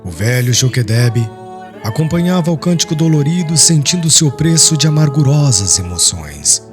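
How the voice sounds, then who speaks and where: A man's voice: a little high, sing-song, normal speed. A man with a Brazilian accent reads literary narration solemnly, as an audiobook narrator, over music. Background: soft music.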